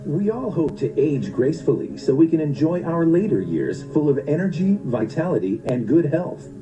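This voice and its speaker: Male ad narrator, slightly high voice, persuasive scripted read over a clear music bed. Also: big pitch swings, moderate pace, low-quality recording. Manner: smooth, persuasive sales delivery.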